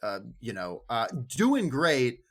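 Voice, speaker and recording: A man's voice: medium pitch, wildly swooping pitch, slow, a bit bright. A man on a podcast panel chatting casually about a book in a wry, informal way with loosely strung phrases.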